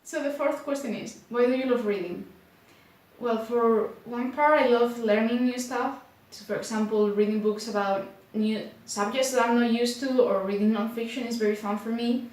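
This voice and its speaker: A young woman from Spain speaking English with a Spanish accent, chatting casually to camera in an unhurried way, full of fillers like "like". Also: a little high, fairly even intonation, darkish tone. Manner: unhurried, many filler likes, slightly unsure. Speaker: booktuber. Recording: very echoey room.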